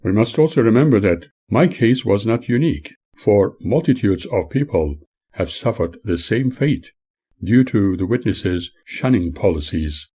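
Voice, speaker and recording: An older man reads his memoir in a measured, earnest tone. His voice sits low and dark, with a husky grain to it. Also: lively intonation. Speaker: author reading his own memoir. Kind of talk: memoir reading.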